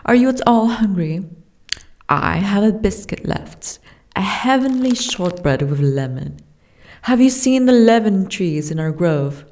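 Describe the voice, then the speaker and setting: A woman voicing a gentle, wistful young giantess princess with courtly, formal phrasing in a soft ASMR fantasy roleplay. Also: medium pitch, lively intonation, a little slow. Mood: kindly, shy. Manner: formal, archaic, genteel phrasing.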